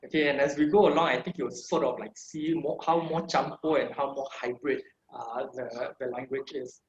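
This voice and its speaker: A man's voice: medium pitch, steady tone, normal speed. Singapore-raised male presenter on a video call, casual and rambling, roomy muffled sound. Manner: chatty, many fillers and tag questions. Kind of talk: online talk with slides. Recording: echoey room, dull lo-fi video call audio.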